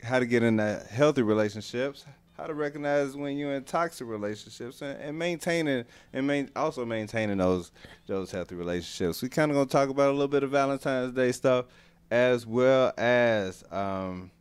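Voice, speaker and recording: A man's voice: medium pitch, moderate pace, clear, resonant tone, dry recording. A man hosting a radio show with casual banter, in an informal, slangy way with repeated phrases.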